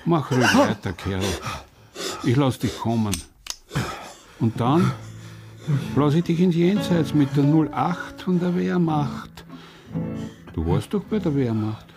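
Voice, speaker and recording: A man's voice: fairly high pitch, expressive, slow pace, pauses often, music in the background. An actor playing a brutal, vengeful gunman in a radio drama, commanding and threatening, with a southern German or Austrian accent. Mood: menacing. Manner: threatening, commanding, swings between taunting and raging. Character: vengeful, brutal male ex-camp gunman, menacing and taunting.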